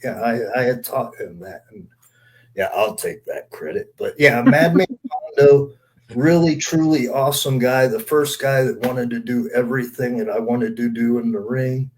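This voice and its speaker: A man's voice: mid-range voice, normal speed, a little mellow, rough recording. Salty man swapping old stories. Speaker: former wrestler on a podcast panel. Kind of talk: casual storytelling chat.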